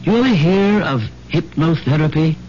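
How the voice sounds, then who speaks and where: A man playing a kindly, folksy family doctor in a 1970s radio drama, measured and reassuring. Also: on the high side, animated, a little mellow, lightly acted. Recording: muffled old radio broadcast, faint hiss.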